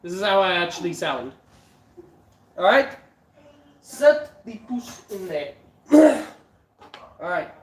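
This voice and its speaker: A man's voice: high-pitched, very animated, takes it slowly, long pauses, reverberant. A stuffy-nosed South African man hosting a live cooking stream, chatting casually with viewers and swearing now and then. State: stuffy nose. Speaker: livestream cooking host.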